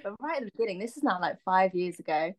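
A woman's voice: medium pitch, lively intonation, fast. A woman in her thirties, a singer-songwriter guest, talking reflectively in a casual interview with many ums and likes. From Filipina-Sri Lankan, raised in the UK. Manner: reflective, lots of "um" and "like".

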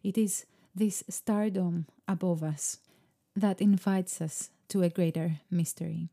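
A woman whose first language is Greek, speaking in a slow, meditative, musing way about creativity. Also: on the low side, steady tone, dark tone. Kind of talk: reflective spoken monologue.

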